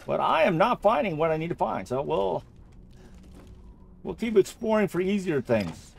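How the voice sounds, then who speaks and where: A man's voice: high-pitched, big pitch swings, moderate pace, vocal fry, slightly rough. A man giving casual let's-play commentary, thinking aloud and often repeating his phrases as he goes. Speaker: gamer narrating own playthrough.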